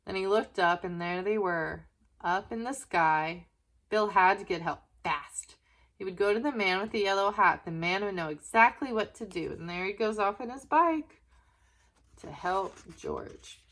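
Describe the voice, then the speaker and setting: Female children's story reader, medium pitch, slow delivery, expressive, chatting to young listeners. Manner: expressive, asking the listener questions. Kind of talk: read-aloud storybook narration with asides to young listeners.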